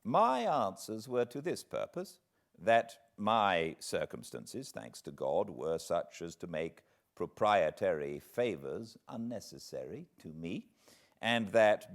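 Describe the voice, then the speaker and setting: Deep-voiced British man reading expressively. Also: sing-song, a little slow. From Britain. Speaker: audiobook narrator. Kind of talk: expressive literary audiobook reading.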